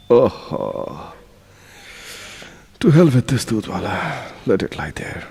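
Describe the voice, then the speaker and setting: An actor with an Indian English accent playing a tired young software professional in an audio drama, mumbling sleepily to himself. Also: male voice, mid-range voice, breathy edge, exaggerated voice. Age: young adult.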